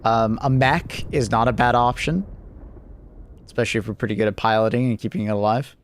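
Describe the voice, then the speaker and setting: A man's voice: mid-range voice, fairly even intonation, brisk, a little hiss or hum. Rambling young man streamer, casual. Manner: rambling, full of "like" and restarts. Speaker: livestream host. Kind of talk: casual chat while gaming.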